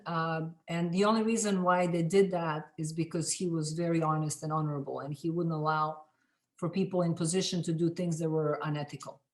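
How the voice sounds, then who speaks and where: A middle-aged woman from Serbia with a Serbian accent, telling her story as an interview guest, halting often and restarting her sentences. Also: fairly low pitch. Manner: halting, many restarts and fillers. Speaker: film director, interview guest. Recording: echoey room.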